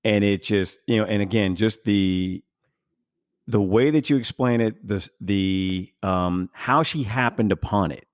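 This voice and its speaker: Low-voiced man, rambling podcast host. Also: measured pace, no room sound, clean studio sound. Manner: rambling, many fillers and restarts. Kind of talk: casual podcast chat.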